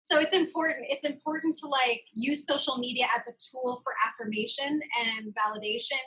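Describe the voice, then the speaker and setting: A woman with a high-pitched, bright and forceful voice hosts a candid, casual conversation. She talks reflectively and articulately, giving emphasis to the points that matter to her. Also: fairly even intonation, fairly quick, a little hiss or hum, poor audio. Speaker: podcast host.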